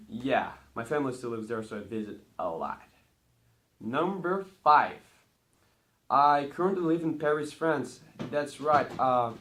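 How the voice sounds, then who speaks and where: A teenage boy from Brazil, a Portuguese native speaker, talking about himself in a chatty, rambling vlog with bursts of excitement at each numbered item. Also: medium pitch. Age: teens. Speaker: vlogger. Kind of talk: casual talk to camera.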